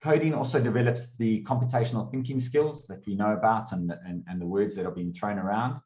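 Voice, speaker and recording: A man's voice: fairly low pitch, fairly quick, darkish tone, roomy sound, lo-fi sound. A man giving a casual, upbeat presentation at an online open day, talking with fillers and restarts. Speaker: presenter of a coding course.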